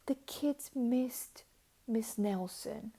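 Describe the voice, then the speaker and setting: A woman reading a children's picture book aloud as a storyteller, with dramatic, deliberate delivery and drawn-out words. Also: fairly high pitch, warm tone.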